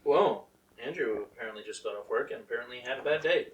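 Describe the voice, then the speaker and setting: A man's voice: medium pitch, lively intonation, fast, husky, muffled recording. A man co-reading fan fiction with casual, jokey banter and an informal manner.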